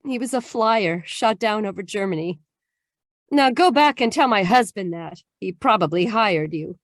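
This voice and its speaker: A woman's voice: mid-range voice, lively intonation, moderate pace, no room sound, clean studio sound, lightly acted. An actress playing a proud, troubled married woman with secrets in a noir radio drama, with an emotional, haughty delivery. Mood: grieving, bitter. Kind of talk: noir radio-play acting.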